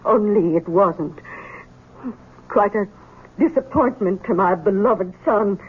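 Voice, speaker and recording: A breathy, faltering older mother in a vintage radio play. She speaks slowly and falters between words. The sound is thin and tinny, like an old broadcast. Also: medium pitch. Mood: bitter. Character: frightened wealthy widowed mother, proud and sharp. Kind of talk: 1970s radio drama acting.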